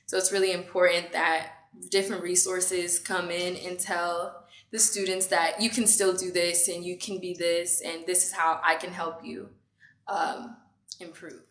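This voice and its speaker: An eighteen-year-old woman, a student, giving earnest, steady interview answers. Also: fairly low pitch, measured pace. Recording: echoey room.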